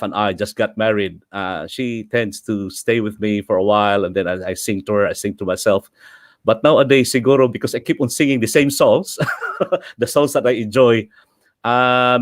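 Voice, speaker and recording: Filipino guy hosting his stream, lowish voice, dry close sound, laughs a lot, just chatting. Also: no room sound. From Philippines. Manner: chatty, laughs often, mixes English and Filipino. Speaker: host. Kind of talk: casual livestream talk.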